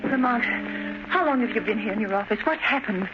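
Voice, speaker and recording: Woman playing a fast-talking, worried sidekick. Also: on the high side, expressive, music in the background, natural voice. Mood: worried, urgent. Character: eager, worried young female sidekick. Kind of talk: 1930s radio drama acting. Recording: muffled 1930s radio recording with hiss.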